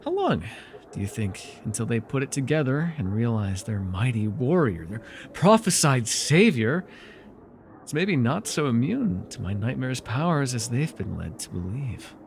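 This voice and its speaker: A man's voice: mid-range voice, sing-song, a little slow, gravelly, slight background noise. Man acting out a sly, swooping nightmare villain. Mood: mocking, insinuating. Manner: theatrical, teasing, mockingly sweet. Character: villainous nightmare lord, sly, taunting, flirtatious dream invader. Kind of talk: ASMR fantasy roleplay acting.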